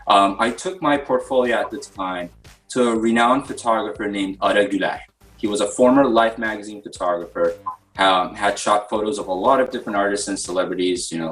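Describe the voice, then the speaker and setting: A man's voice: fairly low pitch, fairly bright tone, far from the mic, poor audio. A man from Turkey, a photographer, telling his story in a casual interview, with many ums and you-knows. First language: Turkish. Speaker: guest photographer.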